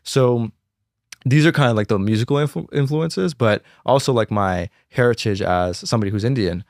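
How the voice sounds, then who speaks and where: A man's voice: medium pitch, darkish tone, no echo, clean studio sound. A young American man, a fashion designer, talking about his brand in a casual interview, packing his sentences with "like" and "you know". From United States. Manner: fast, filler-heavy talk with many "like"s.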